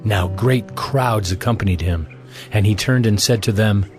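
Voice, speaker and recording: A man's voice: lowish voice, moderate pace, over music. A man narrating scripture from a script for an audio drama.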